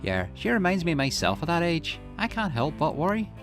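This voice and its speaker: A man's voice: fairly high pitch, very animated, fairly quick. A man voicing a caring, chatty grown-up friend in a visual novel, lilting and playfully chiding. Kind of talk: visual novel character reading. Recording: music behind the voice.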